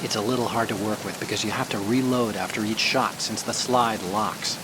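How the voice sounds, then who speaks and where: A man's voice: lowish voice, fairly even intonation, brisk, lightly acted. Man acting an earnest techie over heavy noise. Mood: explanatory. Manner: explanatory, chatty, precise. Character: earnest, brainy, self-assured male tech-expert mission partner. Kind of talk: video game cutscene acting. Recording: heavy noise of rain and vehicles.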